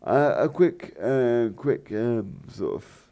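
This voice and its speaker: A man hosting a gaming channel, talking in a rambling, hesitant way with lots of fillers and restarts, his voice croaky. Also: medium pitch, lively intonation, takes it slowly, highs cut off. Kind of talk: rambling let's play commentary.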